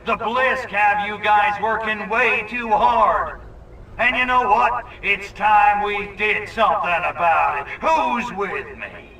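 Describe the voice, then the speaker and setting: Casting: wisecracking alien invader, cocky and irreverent, high-pitched, slow-paced delivery, game cutscene. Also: male voice, sharp tone, heavily acted, rough recording. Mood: rabble-rousing. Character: brash, wisecracking little male alien invader. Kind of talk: video game cutscene acting.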